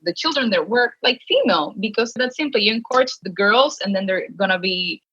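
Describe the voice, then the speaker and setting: A woman's voice: mid-range voice, expressive, fairly quick, sharp tone, breathy edge, dull audio. A woman from the Dominican Republic speaking casually and heartfelt as an interview guest. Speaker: guest, model. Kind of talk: interview conversation.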